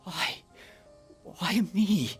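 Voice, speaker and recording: A sickly, dying young man in a video game drama. He speaks slowly and wearily, breathy and halting, melancholy and resigned, with music behind him. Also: high-pitched, expressive, full character voice. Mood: bitter, sorrowful. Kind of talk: English video game dub acting, dramatic monologue.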